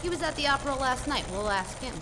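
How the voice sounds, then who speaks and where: A woman plays an excitable, slangy bystander in a radio play. Her voice is high and echoes in a reverberant hall over clear background noise. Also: fast. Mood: excited. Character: eager, excitable, gossipy stock exchange onlooker. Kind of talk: live radio drama acting. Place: live performance in a church.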